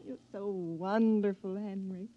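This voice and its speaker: A woman's voice: medium pitch, steady tone, unhurried, close to an everyday voice. A woman playing an adoring, needy wife anxious to please, in 1950s radio drama style. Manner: doting, needy. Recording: dry, muffled old radio recording.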